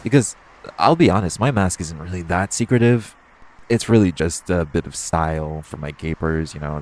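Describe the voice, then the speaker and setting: A flirty supervillain thief, acted by a man with a low-pitched voice. He talks straight to the listener in a casual, teasing audio-roleplay style. His delivery is self-assured yet conversational, broken by halting pauses. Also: a little hiss or hum, low-quality recording. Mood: teasing, probing. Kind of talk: intimate second-person audio roleplay, conversational acting.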